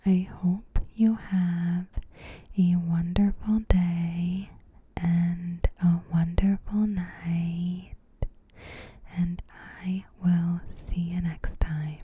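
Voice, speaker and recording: A woman softly whispering a scripted recap in a gentle, measured, breathy ASMR style. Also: on the low side, little pitch movement, muffled recording, slight background noise. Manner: gentle, measured, careful phrasing. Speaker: ASMR host.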